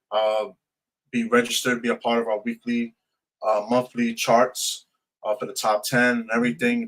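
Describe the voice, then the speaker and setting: A man co-hosting a live radio show, talking casually and promoting the station in halting, pieced-together phrases. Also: medium pitch, a bit bright, poor audio. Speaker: radio co-host and DJ.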